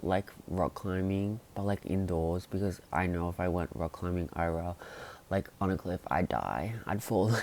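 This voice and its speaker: A young man chatting casually to camera in a rambling vlog, full of fillers and sudden excited jumps in pitch. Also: slight background noise. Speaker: vlogger.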